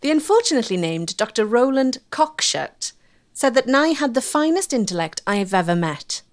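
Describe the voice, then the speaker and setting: A woman's voice: fairly high pitch, big pitch swings, normal speed. A woman with a Welsh accent reading scripted narration as the presenter of a documentary.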